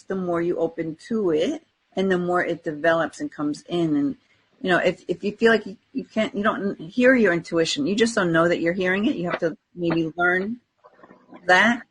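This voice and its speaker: Husky-voiced woman chatting casually. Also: lowish voice, moderate pace, low-quality recording. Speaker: podcast co-host. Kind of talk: casual spiritual chat.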